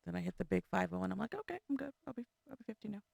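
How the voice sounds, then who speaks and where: A woman's voice: medium pitch, big pitch swings, racing, vocal fry, darkish tone, husky, no echo, clean studio sound. A woman in her fifties from California, co-hosting a casual talk show, asking probing questions and laughing easily. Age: 50s. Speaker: podcast co-host. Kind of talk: casual chat.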